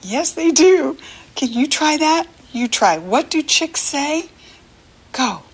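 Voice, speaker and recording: American woman, children's read-aloud teacher. Unhurried, very lively pitch, encouraging and full of questions. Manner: encouraging, asks questions and leaves room to answer; animated, sing-song child-directed talk. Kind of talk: read-aloud picture-book lesson for young children.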